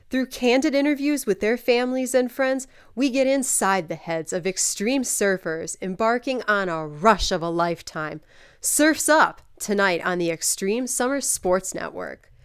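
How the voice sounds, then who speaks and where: A woman reading scripted commercial and promo narration with a polished, clearly articulated announcer delivery. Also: fairly high pitch. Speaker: voice-over artist.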